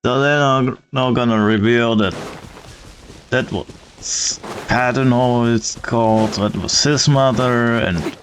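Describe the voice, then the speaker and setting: A man streaming a game, commenting casually in a slow, halting way. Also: medium pitch, fairly even intonation, a little hiss or hum. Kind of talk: casual commentary over gameplay.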